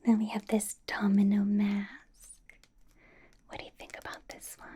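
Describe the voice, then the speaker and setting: A woman voicing a possessive, doting, commanding ancient vampire boss in an ASMR roleplay, in a breathy whisper with coaxing hums. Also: medium pitch, monotone, moderate pace, mellow. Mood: playful, coaxing.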